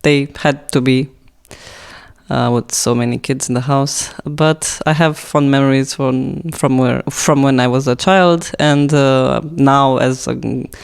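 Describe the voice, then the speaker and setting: A woman's voice: very deep voice, fairly even intonation, a little slow, gravelly, smooth. A young woman from Romania, with a Romanian accent and Romanian as her first language, answering interview questions in a hesitant way with many fillers and restarts. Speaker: guest.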